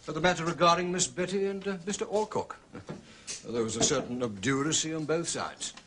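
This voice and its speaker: An actor playing a discreet, loyal gentleman's manservant, measured and deferential, in a period detective drama. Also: on the high side, noisy background, close to an everyday voice. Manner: measured, deferential, precise wording. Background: prominent music.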